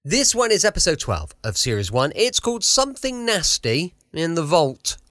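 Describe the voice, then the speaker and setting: A man's voice: a little high, sing-song, moderate pace, fairly bright tone, slight background noise. An English man hosting a podcast with a chatty, rambling and effusive introduction. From United Kingdom. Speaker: podcast host.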